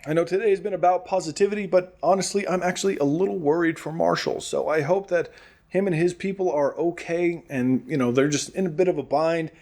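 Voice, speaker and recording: Man acting as post-apocalyptic community radio host, slightly high voice, very varied intonation, earnest, chatty, rambling asides. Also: darkish tone. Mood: worried. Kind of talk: casual community radio broadcast, audio drama.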